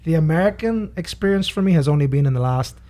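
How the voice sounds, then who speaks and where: Male pastor whose first language is Spanish, slightly raised pitch, animated and conversational. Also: quick pace. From Canary Islands, Spain. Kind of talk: casual podcast chat.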